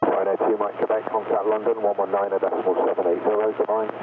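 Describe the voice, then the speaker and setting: A man's voice: on the low side, level tone, fast, breathy edge. A male air traffic controller giving clipped, businesslike procedural instructions over an aircraft radio. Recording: tinny, noisy aircraft radio.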